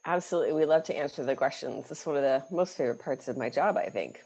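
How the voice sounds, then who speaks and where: A woman's voice: rumbling low, animated, quick pace, muffled recording. A woman from the US, an international admissions representative, presenting a webinar in an encouraging way with some "um"s. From United States. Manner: warm, encouraging, with frequent "um"s.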